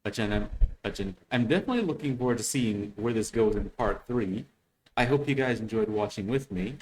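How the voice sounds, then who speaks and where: A man hosting a reaction video, giving casual unscripted commentary in a halting way, often repeating words as he thinks. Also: lowish voice, expressive, a little mellow, poor audio. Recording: faint background noise.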